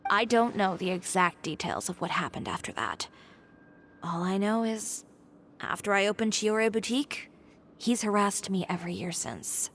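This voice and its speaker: A woman's voice: medium pitch, moderate pace, a bit bright, slight background noise, lightly acted. A woman playing a proud, determined young fashion designer in a video game, composed and matter-of-fact. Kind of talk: video game dialogue acting.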